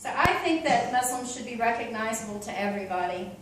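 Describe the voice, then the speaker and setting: A woman's voice: on the high side, animated, quick pace, heavy reverb, distant mic, noisy background. A woman from Oklahoma with an Oklahoma accent, talking in a chatty, open way with many ums and you-knows. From Oklahoma, USA. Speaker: convert giving a talk. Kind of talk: casual personal talk.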